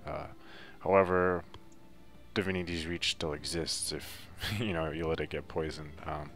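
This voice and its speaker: A man's voice: extremely low pitch, fairly even intonation, measured pace, fairly bright tone, soft background music. A man giving a casual, unscripted video game walkthrough in a loose, hesitant, laid-back way. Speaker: video game guide narrator.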